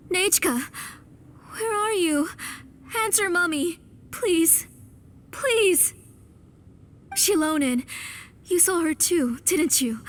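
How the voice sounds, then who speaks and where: An actress playing a grief-stricken mother in denial, pleading and halting, in a dramatic video game. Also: very high-pitched, a little hiss or hum. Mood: frantic, pleading. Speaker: voice actor.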